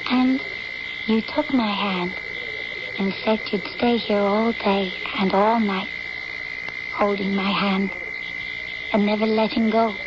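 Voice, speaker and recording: An actress playing a devoted, needy young daughter in a radio drama, earnest, dreamy and intimate. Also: female voice, medium pitch, fairly even intonation, takes it slowly, long pauses, close to an everyday voice. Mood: wistful. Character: devoted, needy schoolgirl daughter narrating her memories. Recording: noisy, dull old-time radio recording.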